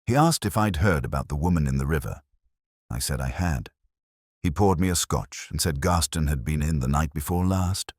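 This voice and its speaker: A man voicing a wry, literary middle-aged male detective, narrating a mystery in a measured, reflective first person. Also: extremely low pitch, animated, no room sound, studio quality. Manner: measured, reflective, quietly observant.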